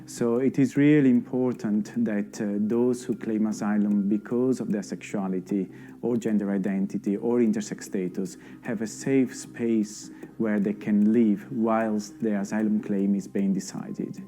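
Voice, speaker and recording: A man giving measured, explanatory scripted narration for a documentary voice-over. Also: fairly low pitch, fairly even intonation, dark tone. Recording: faint music bed underneath.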